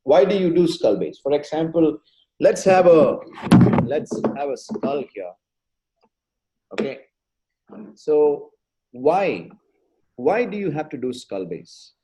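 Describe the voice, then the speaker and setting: A man, a neurosurgeon, giving an animated webinar lecture over a video call, with lots of rhetorical questions. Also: on the high side, slow, pauses often, rough recording.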